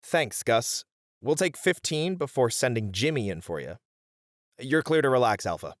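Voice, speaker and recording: Male actor as exasperated idol manager, mid-pitched, lively intonation, dry chiding banter, audio drama. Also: normal speed, close to an everyday voice. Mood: brisk, chiding. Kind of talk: scripted ASMR roleplay audio drama.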